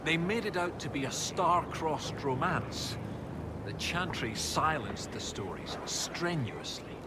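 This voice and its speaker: An actor voicing a bookish, excitable male historian in a video game, speaking in precise, scholarly phrases. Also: a little high, slow, very noisy. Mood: earnest. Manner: scholarly, animated, precise wording. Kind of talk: video game dialogue acting.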